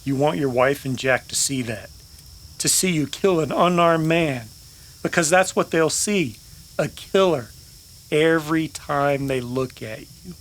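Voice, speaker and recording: Guy doing urgent cowboy hero, kinda high voice, real slow n emphatic, western radio play. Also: fairly bright tone. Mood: urgent pleading. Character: urgent, principled Old West cowboy hero. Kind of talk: old-time western radio drama acting.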